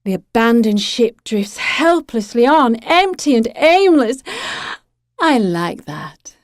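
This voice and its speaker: Slow, high-voiced, imperious young siren, played by a woman. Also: big pitch swings, no echo, clean studio sound. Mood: proud, cruelly gloating. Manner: willful, teasing, deliberate. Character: beautiful young female siren, imperious, capricious, cruelly playful. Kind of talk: audio drama acting.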